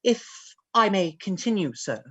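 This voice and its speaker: A woman's voice: mid-range voice, very animated, unhurried, fairly bright tone, husky, exaggerated voice. A woman playing a gaunt, sickly young villain, a scheming man with an oily, falsely courteous manner, in a stage play reading. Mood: oily flattery.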